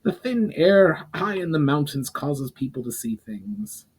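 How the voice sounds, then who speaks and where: A man reading a fantasy story, voicing a dutiful but skeptical female army commander with a crisp, questioning manner. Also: a little high, big pitch swings, a little slow, voice pushed up, heavily acted. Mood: scoffing. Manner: crisp, questioning, dismissive of superstition.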